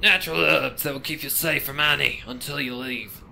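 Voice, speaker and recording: A raspy religious pony exorcist, acted out by a man, speaks in a raised, bright voice. The delivery is stern, wary and theatrical, like a grave teller of dark storybook lore, over faint background noise. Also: mid-range voice, normal speed, pitched above the performer's own voice. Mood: guarded.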